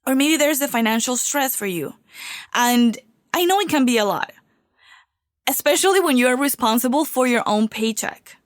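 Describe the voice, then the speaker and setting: Woman host, recovering from a cold; warm confiding podcast delivery, dry close mic. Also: high voice, expressive, moderate pace, dry recording. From Venezuela. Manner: upbeat, heartfelt, confiding. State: getting over a cold. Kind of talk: solo podcast talk.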